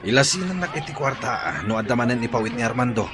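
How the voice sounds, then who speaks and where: A man's voice: mid-range voice, lively intonation, quick pace, crowd noise, lightly acted. A man with an Ilocano accent playing a jobless, money-grasping lover in a radio drama. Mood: wheedling, smooth-talking.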